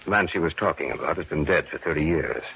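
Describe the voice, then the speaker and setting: Deep, brooding obsessed radio-drama sleuth. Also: male voice, fast talker, creaky, slightly rough, full character voice. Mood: brooding, determined. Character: Brian, brooding obsessed man pursuing a dark mystery. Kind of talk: old-time radio drama acting. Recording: muffled vintage radio recording.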